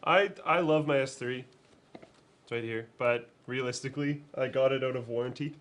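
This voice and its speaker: A relaxed man co-hosting a tech live show while sick with a cold. He chats casually at a medium pitch and laughs easily. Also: moderate pace. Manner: laid-back, laughs often. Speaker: co-host. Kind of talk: casual chat.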